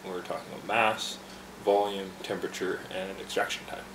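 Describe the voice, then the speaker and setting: A man with a fairly low voice demonstrates tea brewing from behind a bar, chatty and relaxed, often pausing with fillers. The room rings with echo, and steady background noise sits under his voice. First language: English. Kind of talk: casual instructional demonstration.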